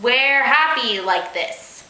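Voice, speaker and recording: A smug, slow-witted teenage boyfriend as a woman reader plays him in a comic story. The voice is bright and exaggerated, dragging out its words. It echoes in a room. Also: a little high, lively intonation, full character voice. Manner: drawn-out, exaggerated, broken grammar. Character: dim, smug male teenage cheating boyfriend.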